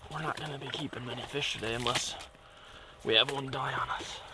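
A young man talking casually as he fishes from a small ice tent, relaxed and chatty. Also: mid-range voice, a little fast, heavy background noise. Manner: relaxed, chatty, gets excited when fish bite. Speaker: outdoor vlogger. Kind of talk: casual vlog narration. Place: small ice fishing tent on a frozen lake. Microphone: camera mic, close.

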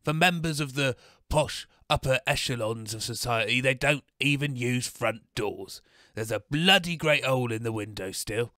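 A man acting gloomy wasteland radio host, forceful bright tone, deadpan grumbling, comic monologue, dry recording. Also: medium pitch, normal speed, no room sound, lightly acted. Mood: glum, grumbling. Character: miserable male wasteland radio host, grumbling and hard-done-by. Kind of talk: scripted comic radio monologue introducing songs.

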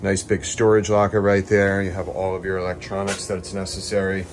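A man's voice: low voice, monotone, brisk, background noise. A middle-aged man and yacht broker giving an enthusiastic, casual walkthrough of a sailboat, with frequent fillers, filmed on a handheld phone at a boat show. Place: on a sailboat at a dockside boat show, outdoors and in the cabin. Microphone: handheld phone camera.

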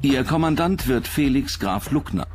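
A man narrates a documentary from a script. His voice sits at an ordinary male pitch, and music plays clearly behind him. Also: lively intonation, moderate pace.

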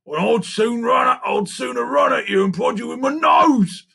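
A storybook reader voicing an offended, threatening big animal, an elephant or rhinoceros, with loud emphatic indignation. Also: medium pitch, moderate pace, creaky, breathy edge, pitched above the performer's own voice, full character voice. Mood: indignant.

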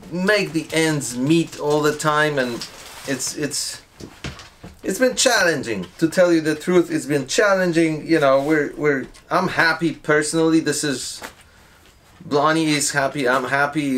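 A man's voice: fairly high pitch, a little slow, a bit bright, a little hiss or hum. A man in his forties chatting casually to camera for a daily vlog, explaining his project as he goes, often restarting sentences. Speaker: vlogger. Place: indoors, garage workshop and stores.

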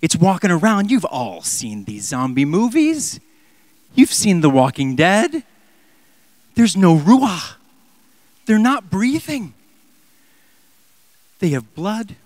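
Man pastor in his thirties, church sermon, handheld mic, slow deliberate delivery, loud peaks and hushed whispers. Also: sits high, sing-song, dry recording. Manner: impassioned, swinging from loud emphatic peaks to near-whispers. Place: large church sanctuary.

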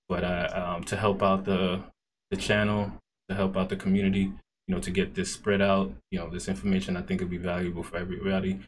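A man's voice: low voice, a little hiss or hum. A man hosting a casual interview, laid-back and encouraging, talking through an earbud headset on a video call. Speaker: host. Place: small room indoors.

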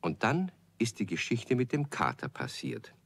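A man's voice: on the low side, wildly swooping pitch, measured pace, fairly bright tone. A man narrating a scripted children's story, chatting directly to his young listeners.

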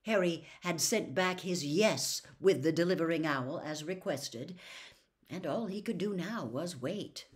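A woman in her sixties reading a novel aloud as a storyteller, with dramatic, deliberate phrasing. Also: on the low side, animated. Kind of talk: expressive audiobook-style reading of a novel. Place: small furnished room at home.

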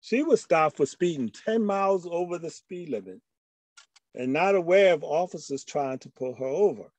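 A man's voice: on the high side, expressive, normal speed, a little mellow, no echo. An older man giving a slide presentation to a discussion group over a video call. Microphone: video call microphone.

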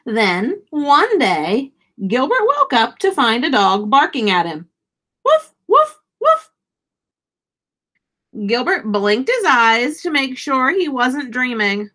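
A woman's voice: high-pitched, big pitch swings, slow pace, few pauses, bright, forceful tone. A woman in her thirties reads a children's storybook aloud, expressively and with dramatic emphasis for young listeners. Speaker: teacher reading a picture book aloud. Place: small indoor room, seated.

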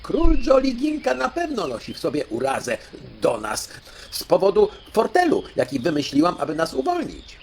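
A man's voice: high-pitched, huge pitch swings, normal speed, a little mellow, slight background noise, pitched above the performer's own voice, exaggerated voice. A man voicing a clever, cautious female parrot in a storybook, speaking in an urgent warning manner. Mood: anxious warning.

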